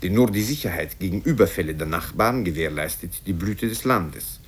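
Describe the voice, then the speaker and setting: A man's voice: low voice, lively intonation, normal speed, a little hiss or hum. A man voicing a pompous politician who declaims self-righteous accusations with emphasis in a satirical radio story. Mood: accusing, righteous. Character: pompous male politician hurling accusations at his rival.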